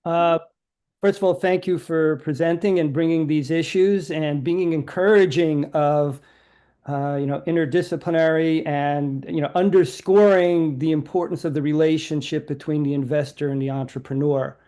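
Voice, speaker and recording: Mellow halting panel speaker. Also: mid-range voice, fairly even intonation. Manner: halting, filler-heavy. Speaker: panel participant. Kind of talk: closing remarks on a panel.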